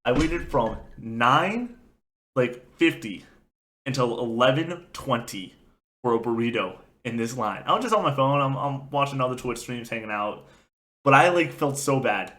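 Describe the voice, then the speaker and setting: A young man co-hosting a casual gaming podcast, telling a rambling, animated story while getting over being sick. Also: medium pitch, moderate pace. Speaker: podcast co-host.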